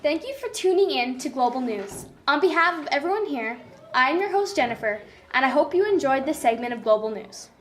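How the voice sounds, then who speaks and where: A woman's voice: sits high, animated, a little fast. A woman playing a polite news host in a scripted puppet show, with formal, recited delivery. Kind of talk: scripted mock newscast. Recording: noisy amateur room recording.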